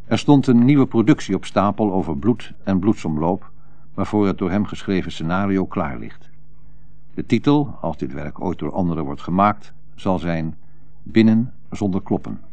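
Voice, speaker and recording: An actor playing a solemn radio newsreader, delivering a special bulletin in a formal, measured manner within a radio drama. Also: male voice, low-pitched, animated, mellow, dull audio.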